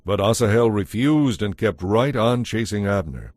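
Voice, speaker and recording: A man's voice: lowish voice, lively intonation, normal speed, a little mellow, dry recording, clean studio sound. A man narrating a dramatized audio Bible as a scripted storyteller.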